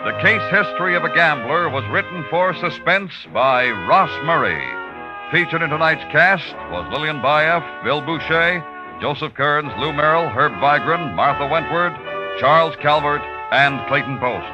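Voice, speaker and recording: High-set American radio announcer over music. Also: male voice, expressive, measured pace. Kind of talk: 1950s scripted announcing of credits and previews. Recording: dull old radio recording.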